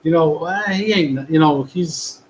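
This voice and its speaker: New guy hosting a chat show, rambly and easygoing, slightly high voice, faint hiss on the line. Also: a little slow, rough recording. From New York. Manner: rambling, relaxed, many restarts. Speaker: podcast host. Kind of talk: casual chat. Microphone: video call.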